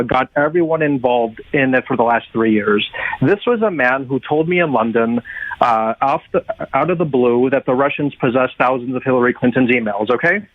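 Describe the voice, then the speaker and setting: A man's voice: medium pitch, no room sound. A male guest speaks urgently and insistently, driving each point home. His mid-range voice is dry and thin, squeezed through a tinny phone line. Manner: urgent, insistent, repeats "okay?". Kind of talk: interview talk.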